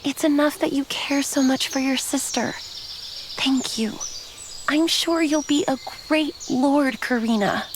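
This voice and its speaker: A woman playing a gentle, dutiful noble elder sister in an anime-style game, polite and composed. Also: high-pitched, measured pace, background noise.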